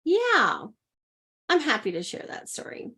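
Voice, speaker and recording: A woman's voice: high voice, wildly swooping pitch, unhurried, sharp tone. A middle-aged woman, a leadership coach, telling personal stories as a guest in an earnest, upbeat way and laughing often. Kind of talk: personal storytelling in conversation.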